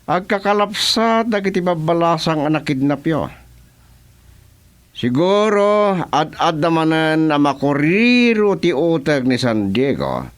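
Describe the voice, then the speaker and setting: A man's voice: fairly high pitch, sing-song, a little slow. A man playing a menacing, smug crime boss in a radio drama, with an Ilocano Filipino accent. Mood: smug menace.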